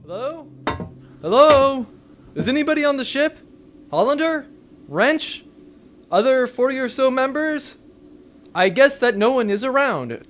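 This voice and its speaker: A man playing a hapless, sarcastic accountant aboard a privateer spaceship, talking in an exasperated, wordy way for a comic sci-fi audio drama. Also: very high-pitched. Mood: calling out.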